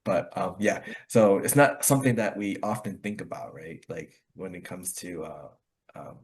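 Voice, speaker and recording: A man's voice: sits low, moderate pace, a little mellow. A man with a Connecticut accent chatting casually, rambling with many fillers and restarts. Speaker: club host. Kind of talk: casual conversation practice.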